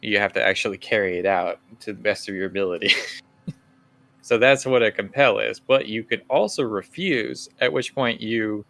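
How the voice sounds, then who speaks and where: A man acting as game master, casually explaining and setting scenes for a tabletop game in a halting, conversational way. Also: fairly low pitch, gravelly, sharp tone.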